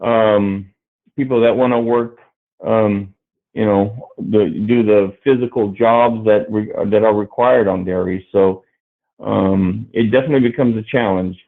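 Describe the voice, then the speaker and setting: A middle-aged dairy farmer, born in Brazil, talking on a livestream panel in a halting way with many fillers and restarts. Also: male voice, on the low side, fairly even intonation, vocal fry. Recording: tinny phone-like video call audio.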